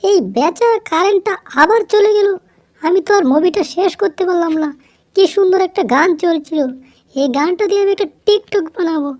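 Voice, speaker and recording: A woman's voice: very high-pitched, a little fast, a little mellow. A woman voicing a vain young female fox in a cartoon, showy and gushing. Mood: dismayed, scheming. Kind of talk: cartoon voice acting.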